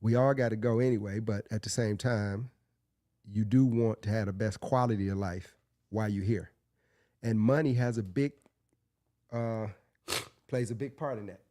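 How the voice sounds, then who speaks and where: A man hosts his own podcast while under the weather, sounding a bit sniffly and congested. His voice sits fairly low and mellow, recorded dry and close. He talks loosely, circling back and restarting phrases as he goes. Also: steady tone, normal speed, pauses often, no room sound. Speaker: podcast host. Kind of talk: casual solo podcast talk.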